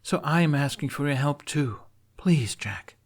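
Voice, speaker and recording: A man's voice: a little high, lively intonation, unhurried, voice pushed up. A man voicing an earnest, stubborn young female knight with an emphatic, imploring delivery in an audio story. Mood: determined, pleading.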